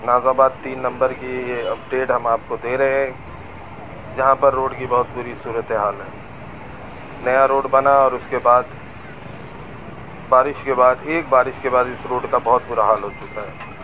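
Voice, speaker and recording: A man's voice: mid-range voice, steady tone, normal speed, long pauses. Man narrating a noisy street tour. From Pakistan. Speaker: vlogger. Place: busy market street outdoors. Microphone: camera on a moving bike. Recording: noisy outdoor street recording. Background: traffic and crowd noise.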